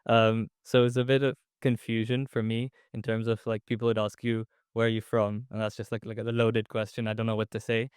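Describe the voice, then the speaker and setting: A man's voice: fairly low pitch, level tone, a little fast, hardly any pauses, smooth, dry recording, studio quality. A young man in his late teens from Tanzania, a student panelist answering reflectively with hesitant fillers.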